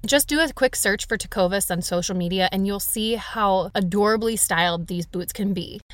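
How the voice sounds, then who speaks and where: A woman's voice: mid-range voice, normal speed, no room sound. A woman presenting an advertisement in a conversational, personal-endorsement style. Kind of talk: conversational host-read advertisement.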